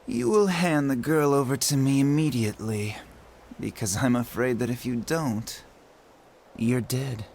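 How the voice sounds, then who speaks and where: An actor playing a scheming aristocratic villain in a game cutscene, smooth and condescending. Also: male voice, mid-range voice, a little mellow, slight background noise, lightly acted. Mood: threatening. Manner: smooth, condescending, slow and theatrical. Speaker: villain lord. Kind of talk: video game cutscene acting.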